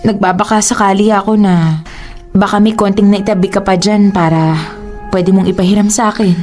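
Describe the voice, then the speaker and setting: A woman's voice: medium pitch, steady tone, moderate pace, darkish tone, faint music behind, close to an everyday voice. A woman with a Filipino accent acting an emotional, reproachful young girlfriend in a radio drama. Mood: pleading, stressed. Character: hurt, indignant young girlfriend pleading with her boyfriend.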